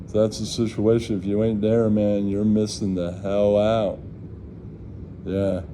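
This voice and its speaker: A very mellow, dark-toned man talks slowly over faint background noise. He rambles casually like a vlogger, swears freely and circles back to the same catchphrases. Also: fairly low pitch, level tone. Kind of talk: casual rambling chat to camera.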